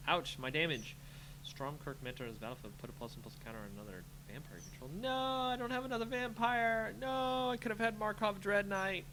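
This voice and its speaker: A man's voice: high-pitched, lively intonation, fairly quick, bright, forceful tone, a little hiss or hum, poor audio. A man giving casual live commentary on a game he is playing, talking to himself playfully as he thinks. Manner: muttering to himself, self-talking, playful sing-song filler. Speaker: host of a card game channel, playing.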